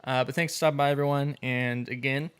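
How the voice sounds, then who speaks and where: A man hosting a gaming podcast in casual chat, his voice hoarse from a night of coughing, chatty and quick to laugh. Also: mid-range voice, steady tone, fairly bright tone. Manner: chatty, laughs often, rambling. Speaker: podcast host.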